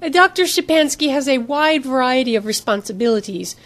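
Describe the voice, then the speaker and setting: Lady doing a polite smooth lady doctor in charge, guarded, formal, highish voice, audiobook. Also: animated, a little fast. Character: smooth, cautious, authoritative female doctor running research.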